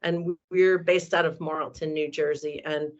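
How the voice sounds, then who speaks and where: A woman's voice: sits low, fairly even intonation, a little slow. A woman who owns a cleaning company, talking in a rambling way at a roundtable, full of "you know". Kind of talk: roundtable remarks. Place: on a video call. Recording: muffled video call audio.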